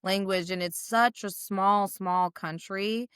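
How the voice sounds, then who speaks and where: A woman hosts a podcast, chatting casually and answering her guests in an affirming, reflective way. Her voice is smooth and clear, in a mid range. The recording is dry and close, with no room echo. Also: mid-range voice, fairly even intonation, takes it slowly, a bit bright. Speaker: podcast host. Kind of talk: casual interview chat.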